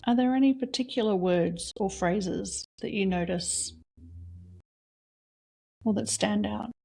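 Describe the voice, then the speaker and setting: Slow, gentle woman guiding a reflection. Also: mid-range voice, creaky. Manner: slow, soft-spoken questions with long pauses. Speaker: meditation guide. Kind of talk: guided reflection exercise.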